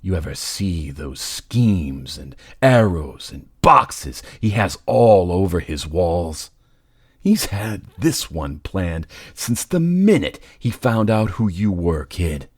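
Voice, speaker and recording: A man's voice: low voice, very animated, slow pace, husky, no echo, clean studio sound, heavily acted. A man voicing a gloating, menacing, talkative supervillain in a comic book dub, with a taunting, condescending drawl. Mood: taunting, smug. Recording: faint music behind.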